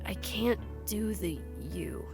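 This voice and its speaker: A woman playing a blunt, easily bored agent with a terse, deadpan manner in an audio drama. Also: mid-range voice, takes it slowly, soft background music, natural voice. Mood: frustrated, focused. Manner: terse, deadpan, casually profane. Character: blunt, sardonic, easily bored female agent relearning to write. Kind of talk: naturalistic audio drama dialogue.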